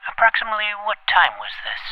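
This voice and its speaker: A man playing a pompous, precocious twelve-year-old boy detective in an audio drama, formal and wordy, with a creaky voice. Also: high voice, big pitch swings, fast, no echo, lo-fi sound. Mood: businesslike. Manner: formal, verbose, clipped interrogator's delivery. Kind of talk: scripted audio drama acting.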